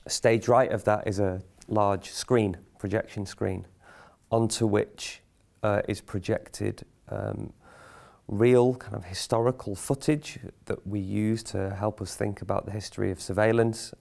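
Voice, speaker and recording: Male theatre-maker, lowish gravelly voice, measured and reflective interview delivery. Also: a little mellow. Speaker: theatre company member. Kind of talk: explanatory interview talk.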